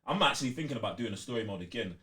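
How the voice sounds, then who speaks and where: A man's voice: medium pitch, expressive, racing, pushed and bright. A young man with a London accent chatting casually on a gaming podcast in slangy, emphatic, informal speech.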